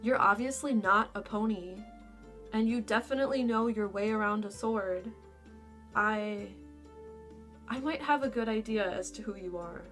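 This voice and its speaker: A woman's voice: mid-range voice, normal speed, fairly bright tone, soft background music, natural voice. A woman playing a blunt, sardonic young female dragon in a fan fiction audio drama, brusque and casual in manner. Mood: dry, dismissive.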